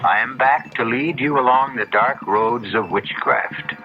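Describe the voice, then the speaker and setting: A man's voice: mid-range voice, lightly acted. Man playing spooky old radio show narrator, portentous and theatrical, music behind him, muffled sound. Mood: ominous. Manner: portentous, measured, rhetorical. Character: ominous male host guiding listeners into dark tales. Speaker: radio drama host and narrator.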